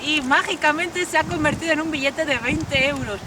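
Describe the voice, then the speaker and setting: A woman's voice: sits high, moderate pace. A woman from the host's chair of an online special, chatting casually in a Spain accent, informal, bossy and teasing. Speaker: host of an online video special. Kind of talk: casual joking presenting to camera. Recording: very noisy outdoor recording with crowd.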